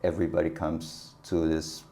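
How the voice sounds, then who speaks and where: Man, very deep voice, animated storyteller, laughs often. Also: moderate pace. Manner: animated, laughs often, acts out quoted dialogue. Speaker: guest, business consultant. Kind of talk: casual interview storytelling.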